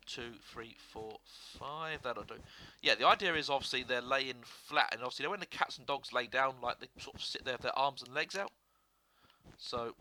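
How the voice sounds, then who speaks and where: A young man with a sore throat and a slightly hoarse voice, chatting casually as he narrates a building game, rambling with fillers and restarts. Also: medium pitch, sharp tone. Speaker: gaming video host. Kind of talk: casual gameplay commentary.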